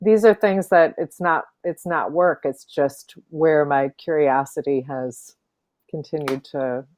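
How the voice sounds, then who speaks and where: A woman from Illinois, an opera singer, chatting as an interview guest over a video call, thoughtful with many fillers and soft laughs. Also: low-pitched, measured pace. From Illinois, USA. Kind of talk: casual interview chat.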